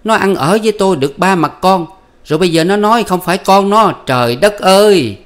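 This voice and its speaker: A man voicing a sly, foul-mouthed peasant wife who scolds and curses in a high, loud voice. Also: expressive, normal speed, lightly acted. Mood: scolding, cursing. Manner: shrill scolding and cursing. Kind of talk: storybook reading.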